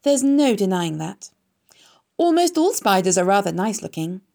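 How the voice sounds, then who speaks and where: A poised, kindly lady spider from a storybook, voiced by a woman narrator. She speaks with genteel, deliberate precision, lilting widely in pitch with a creaky edge, sure of herself. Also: sing-song, warm tone. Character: poised, kindly, self-assured female spider.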